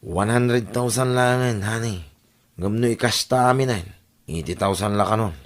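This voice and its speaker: A fairly low-voiced actor as a smooth-talking boyfriend in an Ilocano radio drama. The man has an Ilocano accent and plays a coaxing sweetheart with an everyday delivery. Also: normal speed, lightly acted.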